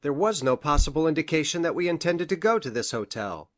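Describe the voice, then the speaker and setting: A woman's voice: sits low, very animated, brisk, dry recording, studio quality, voice pushed down. A woman voicing a courteous, earnest male doctor in an audiobook, polite in manner. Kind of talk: audiobook character reading.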